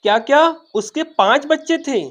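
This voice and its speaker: A man performs a greedy cartoon hyena, a male villain with a rather high voice. He is sly and gloating, turning sing-song when he lures his prey. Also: moderate pace, a bit bright. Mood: dismayed. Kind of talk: Hindi animated fairy-tale character voice.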